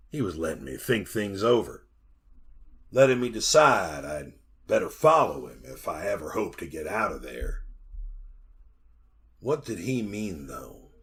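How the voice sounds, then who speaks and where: A haunted, trapped miner in a vintage radio horror play. His voice is low and raspy, and he speaks slowly, with a folksy plainness full of fear. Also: fairly bright tone, heavily acted. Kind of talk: 1940s radio horror drama acting.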